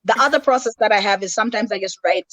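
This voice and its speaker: A woman's voice: mid-range voice, rapid-fire, a crowd in the background. A woman from Malawi, a rapper talking as a guest in a casual interview, candid and self-assured in long flowing answers.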